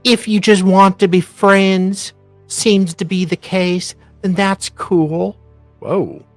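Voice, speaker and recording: A woman co-hosting a livestream, reading messages aloud in a halting, deliberate way with casual reactions. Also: mid-range voice, no echo. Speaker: livestream co-host. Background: music.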